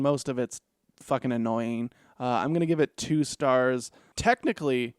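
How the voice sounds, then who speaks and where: A man's voice: on the high side, gravelly, a little mellow, smooth, no echo, clean studio sound. A man hosting a casual film review, rambling and profane, with lots of "like" fillers. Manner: rambling, profane, laid-back with frequent "like" fillers.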